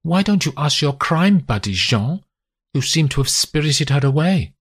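A man voicing a furious, betrayed wife and mother, his voice pushed high and strained with anger. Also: very animated, normal speed, breathy edge.

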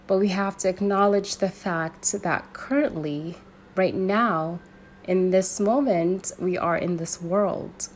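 A young woman from Sudan speaking in an earnest, searching way, pausing and restarting as she thinks aloud. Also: fairly low pitch, darkish tone, a little hiss or hum. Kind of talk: personal reflective monologue.

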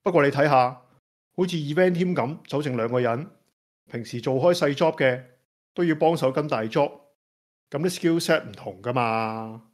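A man's voice: medium pitch, normal speed, lightly acted. A man acting an earnest office employee in a radio drama, with a Hong Kong Cantonese accent. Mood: earnest, persuading. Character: earnest office employee, Stephen, pleading for more staff. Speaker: employee pressing his boss.

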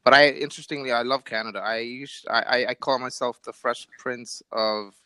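A man in his thirties from Brooklyn co-hosting a casual podcast, friendly and a little hesitant. His voice is bright and smooth, and it sounds dry and close to the mic. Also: mid-range voice, steady tone, normal speed, dry recording. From Brooklyn, New York. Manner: friendly, hesitant, many fillers. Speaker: podcast co-host.

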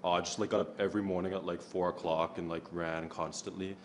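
A man with a deep voice answering judges at a model audition, giving eager, agreeable short answers. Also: level tone, fast, slight background noise. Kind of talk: audition interview.

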